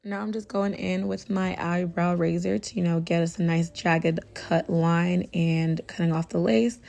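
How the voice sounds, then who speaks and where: A young woman with a hoarse, raspy voice from tonsillitis, talking through a hair tutorial in a casual, chatty way with lots of "you know.". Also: fairly low pitch, unhurried, faint music behind. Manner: chatty, relaxed, full of fillers like "you know". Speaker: beauty vlogger.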